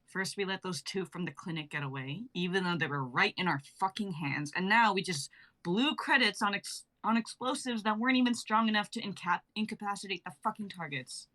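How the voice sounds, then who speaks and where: A woman's voice: medium pitch, fairly quick, bright, forceful tone. A woman in her twenties reading dramatic lines in a blunt, sweary way. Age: 20s. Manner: blunt, sweary line delivery. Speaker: actor in a script reading.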